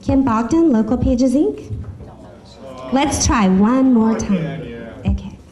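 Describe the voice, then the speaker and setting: A woman's voice: fairly high pitch, lively intonation, slow pace, mellow, highs cut off, faint music behind. A woman chatting to a group as she makes informal announcements as host of a business meeting. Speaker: chamber of commerce host.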